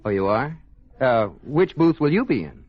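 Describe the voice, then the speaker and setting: An actor playing a self-important, easily flustered husband with an American accent in an old radio sitcom. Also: male voice, medium pitch, very animated, normal speed, no room sound. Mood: nervous, evasive. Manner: pompous, stammering when cornered. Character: self-important banker husband, nervously evasive, protesting too much. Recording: muffled old radio recording, studio audience.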